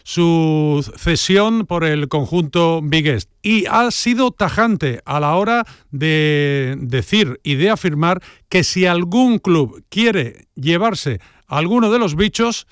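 A man files a scripted sports report for radio, reading slowly and deliberately. His voice is notably high, smooth and clear, and the recording is dry and close. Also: animated, no echo.

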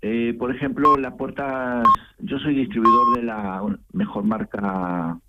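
A man from Argentina with an Argentine accent, phoning in to a radio show and calmly explaining his small business. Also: medium pitch, slow pace, muffled recording. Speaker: caller, small business owner. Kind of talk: casual phone chat on radio.

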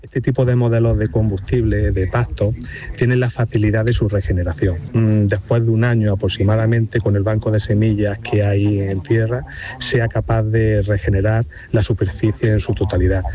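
Flat dark-voiced fire official, dull clip. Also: male voice, fairly low pitch, normal speed. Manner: calm technical explanation. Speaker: fire extinction director.

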